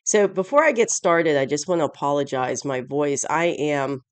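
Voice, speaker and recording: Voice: medium pitch, normal speed, clear, resonant tone, no room sound. A tarot reader hosting a casual weekly reading with a stuffy, congested voice from allergies and a sore throat, rambling and correcting phrases along the way. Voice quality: stuffy, odd-sounding voice. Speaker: tarot reader, channel host.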